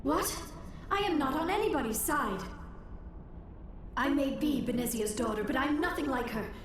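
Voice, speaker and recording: A woman's voice: high-pitched, lively intonation, moderate pace. A woman playing an anxious, earnest young alien archaeologist in a video game, speaking in precise, formal phrases. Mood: defensive, earnest. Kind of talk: video game cutscene voice acting. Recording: echoey, distant, faint background noise.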